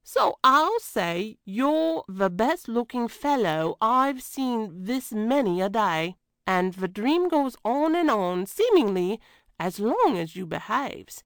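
Woman doing a slow, swooping cook. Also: fairly high pitch, very animated, a bit bright, no room sound, studio quality, voice pushed up, heavily acted. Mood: assured, flirtatious. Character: coy, bossy working-class woman cook turned dream queen. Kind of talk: audiobook character reading.

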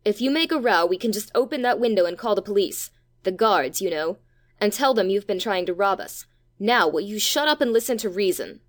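A woman's voice: medium pitch, animated, brisk, close to an everyday voice. Plucky schoolboy, English, female performer: storybook adventurer, frank and firm, middle register. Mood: stern. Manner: blunt, firm, boyish. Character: bold, plain-spoken English schoolboy. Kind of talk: dramatized children's storybook reading.

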